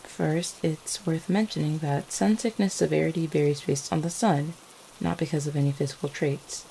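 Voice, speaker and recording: A woman playing a gentle, devoted vampire girlfriend in an ASMR roleplay, speaking in a tender, hesitant, affectionate manner. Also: sits low, moderate pace, vocal fry, low-quality recording. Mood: gentle, explaining. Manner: soft-hearted, hesitant, tender. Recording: faint steady rain-like background noise. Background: rain falling.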